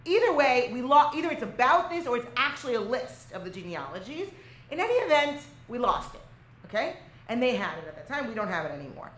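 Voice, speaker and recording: Animated woman teaching in an echoey room. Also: on the high side, quick pace. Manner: animated, emphatic, peppers talk with "right?" and "okay?"; switches between English and Aramaic or Hebrew quotation. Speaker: teacher leading a class. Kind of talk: interactive religious text lecture. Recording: echoey room with clear background noise.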